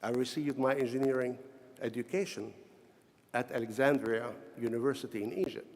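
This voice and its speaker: A middle-aged or older man from Syria with a Syrian Arabic accent gives a formal, prepared ceremonial speech in measured, deliberate short phrases. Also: mid-range voice, steady tone, a little mellow. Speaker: honorary doctorate recipient giving convocation address. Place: large convocation hall, speaking to graduates. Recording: echoey large hall with long reverb.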